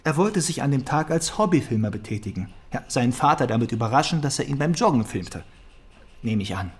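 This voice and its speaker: A man's voice: medium pitch, lively intonation, fairly quick, lightly acted. A man playing an eager, teasing young police detective in a naturalistic German radio crime drama, with a wry, conversational delivery. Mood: explaining.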